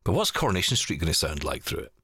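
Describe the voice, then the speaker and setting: A man's voice: low voice, very animated, fast, sharp tone, slightly rough, no room sound, studio quality. A man co-hosting a podcast, thinking aloud in a conversational way as he puts questions to a guest. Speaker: podcast co-host. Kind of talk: casual chat.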